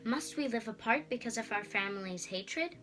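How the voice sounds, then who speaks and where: Girl reading as an earnest lovestruck young gentleman, bright. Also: a little high, lively intonation, moderate pace, soft background music. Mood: ardent. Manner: earnest, courtly.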